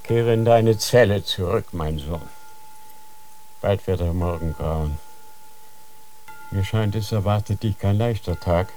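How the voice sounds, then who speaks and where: An actor playing a stern elderly grand inquisitor in a radio drama, grave and commanding. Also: male voice, low-pitched, slow, long pauses, mellow, a little hiss or hum. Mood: solemn.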